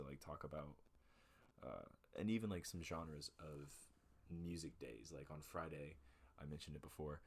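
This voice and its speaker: A man's voice: a bass voice, slightly rough. A young man hosting a casual home podcast, rambling with lots of fillers and self-corrections into a desk mic. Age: young adult. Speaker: podcast host. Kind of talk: relaxed casual chat. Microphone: USB desk mic with headphones.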